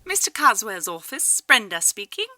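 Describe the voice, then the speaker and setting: A man's voice: high-pitched, very animated, moderate pace, sharp tone, soft background music, pitched above the performer's own voice, exaggerated voice. A man voicing a pleasant female secretary with a British accent, polite and chirpy on the phone.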